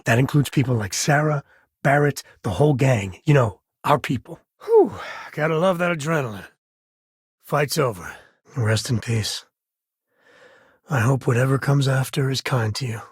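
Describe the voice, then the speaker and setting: A man playing an easygoing space-frontier ranger and devoted dad in a video game, with a husky, slightly rough voice and a folksy, wry manner. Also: mid-range voice, lively intonation, measured pace, no room sound, clean studio sound. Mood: focused, steady. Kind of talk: video game character dialogue.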